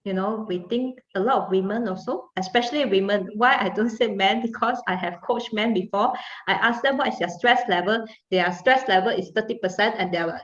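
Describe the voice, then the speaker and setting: A woman with a Malaysian English accent hosting a video call, affirming and encouraging the guests. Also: mid-range voice. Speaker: host and coach. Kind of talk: casual video call chat. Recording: echoey dull video call audio.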